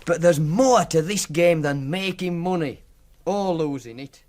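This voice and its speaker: A man's voice: fairly high pitch, lively intonation, a little slow, a little mellow. A man acting in a radio drama as a cocky, teasing racing punter with a Yorkshire accent, speaking colloquially like a storyteller. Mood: earnest, confiding. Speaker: actor.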